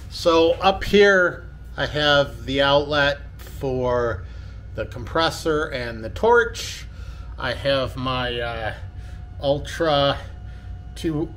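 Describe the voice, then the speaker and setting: Halting man giving a workshop tour. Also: on the high side, a bit bright, slight background noise. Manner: halting, many fillers and restarts. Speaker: DIY vlogger. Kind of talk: casual walkthrough of a workshop build. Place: indoor basement workshop.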